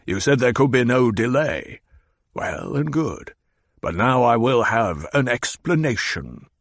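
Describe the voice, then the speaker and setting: A man's voice: mid-range voice, expressive, measured pace, gravelly, dry recording, studio quality. A man doing a petulant, blustering baron. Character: fat petulant baron, older man, demanding.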